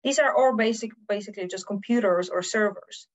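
Woman, mid-pitched, dull lo-fi recording, conversational technical explainer, podcast guest. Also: fairly quick. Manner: conversational, checks in with "right?". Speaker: podcast guest, OT security specialist. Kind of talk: casual explanatory chat. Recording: dull lo-fi audio.

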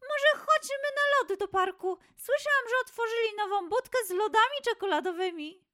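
A woman's voice: extremely high pitch, animated, moderate pace, pushed and bright, pitched above the performer's own voice, full character voice. A woman voicing a sulky little girl monkey in a children's story, pouty and whiny. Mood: subdued.

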